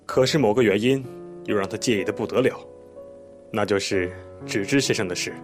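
Actor as low-voiced, methodical male police detective. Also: brisk, soft background music, natural voice. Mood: matter-of-fact. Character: composed male police detective explaining a murder case. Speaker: police investigator questioning a witness. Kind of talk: audio drama acting.

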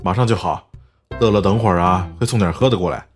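Man doing meek sweet boyfriend, low mild voice, audio drama, music in the background. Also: fast talker, close to an everyday voice. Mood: fondly obedient. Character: meek, doting young boyfriend, gentle and obliging. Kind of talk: Chinese audio drama acting. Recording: music behind the voice.

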